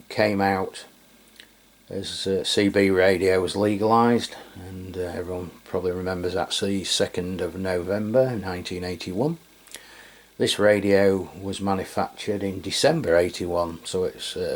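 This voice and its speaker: A man giving a casual hands-on review as host, talking in a hesitant, rambling, matter-of-fact way. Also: low voice.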